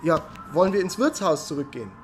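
An actor playing a deferential, polite village messenger in a literary radio play. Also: male voice, on the high side, animated, fast talker, over music, close to an everyday voice. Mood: polite, puzzled.

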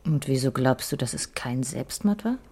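Low-voiced, wry middle-aged wife. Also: female voice, animated, normal speed, warm tone, slightly rough, full character voice. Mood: concerned, probing. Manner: dry, probing, intimate. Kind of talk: naturalistic radio drama acting.

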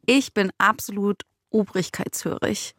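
A woman's voice: mid-range voice, unhurried, a bit bright, no room sound. A woman in casual discussion as a podcast co-host, candid and self-ironic. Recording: music behind the talk. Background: music.

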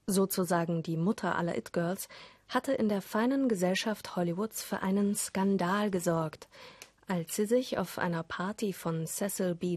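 A woman's voice: mid-range voice, a little mellow, no room sound. A woman reading scripted documentary-style narration as a narrator.

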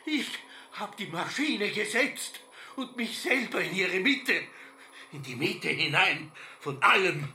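An actor playing an obsessed, dying old farmer with an Austrian accent, declaiming stubbornly and grandly in a literary radio drama. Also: male voice, high voice, animated, takes it slowly, pushed and bright, reverberant, slight background noise, full character voice. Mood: defiant, exultant.

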